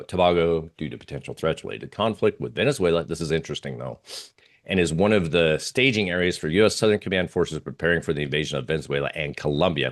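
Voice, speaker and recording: A man giving unscripted analysis as an interview guest, talking through slides in a halting, rambling way with pauses and repeated phrases. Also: extremely low pitch. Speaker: interview guest, geopolitical oil trading analyst.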